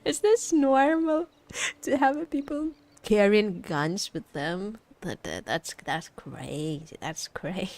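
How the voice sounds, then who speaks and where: A woman's voice: medium pitch, lively intonation, takes it slowly, darkish tone. A woman streaming as a VTuber, chatting casually about a game in a teasing, joking, giggly way. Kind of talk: casual livestream chat reacting to a game.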